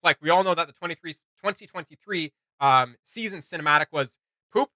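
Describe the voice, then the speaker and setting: Enthusiastic rambling man, bright voice. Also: on the high side, a little fast, no echo. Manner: enthusiastic, rambling, many likes and ums. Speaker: reaction channel co-host. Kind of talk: casual reaction chat.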